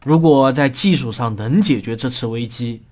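Man playing an earnest mid-pitched flight engineer. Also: moderate pace, a little mellow, natural voice. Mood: earnest, defensive. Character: earnest male flight control technical staffer.

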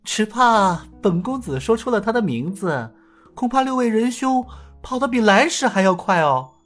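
A man voicing a playful, cocky young male swordsman who mocks his foes with drawn-out taunts in a martial-arts audio story. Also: high-pitched, sing-song, faint music behind, pitched above the performer's own voice. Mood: mocking. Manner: mocking, laughing, drawn-out taunts.

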